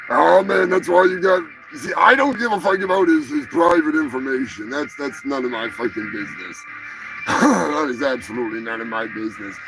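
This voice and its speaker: A man's voice: mid-range voice, lively intonation, brisk, gravelly, music in the background, low-quality recording. A man hosting a live show, talking over the news in a loose, sarcastic, rambling way with lots of swearing and laughter. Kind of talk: casual profane news commentary.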